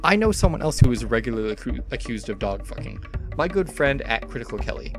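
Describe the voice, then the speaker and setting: Guy doing a sweary fed-up internet arguer, dramatic reading, mid-pitched voice, faint music under it. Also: sing-song, quick pace, close to an everyday voice. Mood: irritated, emphatic. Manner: argumentative, emphatic, sweary. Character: combative, exasperated, foul-mouthed online debater, adult man. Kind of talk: dramatic reading of an online argument.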